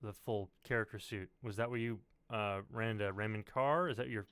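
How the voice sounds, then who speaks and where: Smooth low-voiced man hosting. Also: a little fast, a bit bright, no room sound. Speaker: podcast host, interviewer. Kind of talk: casual interview chat.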